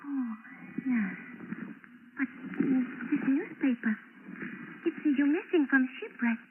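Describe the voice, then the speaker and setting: An actress playing a young foreign woman, a shipowner's daughter, speaking soft, broken English in a 1940s radio drama. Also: high voice, lively intonation, takes it slowly. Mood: pleading. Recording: tinny hissy old radio broadcast.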